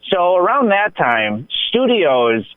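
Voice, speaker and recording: A man's voice: sits high, big pitch swings, slow, no room sound, phone-quality sound. Rambling American man, dry radio sound. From United States. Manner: rambling, digressive storytelling. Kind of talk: casual reminiscing film talk.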